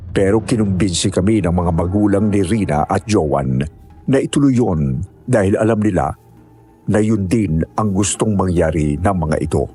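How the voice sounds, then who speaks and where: A man's voice: low voice, moderate pace, mellow, faint music behind. A man reading a scripted first-person horror story as narrator.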